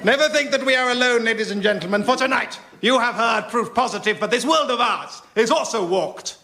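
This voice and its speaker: A man's voice: very high voice, brisk, creaky, heavy background noise. A man playing a grandiose, theatrical speaker addressing an audience in a radio comedy sketch. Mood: grandly portentous.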